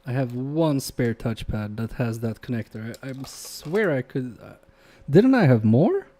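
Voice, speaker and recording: A man's voice: medium pitch, animated, a little slow, warm tone. A young man whose first language is Polish, chatting casually with a live-stream chat while repairing electronics at his workbench and muttering to himself as he works. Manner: chatty, reads chat aloud, trails off muttering while working. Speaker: livestream repair host.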